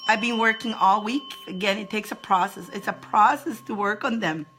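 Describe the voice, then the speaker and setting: Squeaky-voiced woman hosting a live stream. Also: normal speed, over music, rough recording. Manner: greets viewers by name in high sing-song, laughing often. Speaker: host of a live spiritual advice show. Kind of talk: casual live-stream chat greeting viewers.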